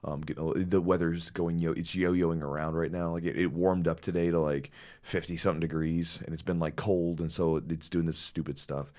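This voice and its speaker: A slightly hoarse man hosting a podcast, chatting casually and rambling with lots of fillers. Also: deep voice, fairly quick. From Louisville, Kentucky. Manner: rambling, animated storytelling with many fillers. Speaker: podcast host.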